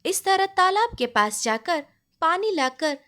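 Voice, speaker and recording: A woman's voice: extremely high pitch, sing-song, unhurried, sharp tone. A woman storyteller narrating a scripted moral tale.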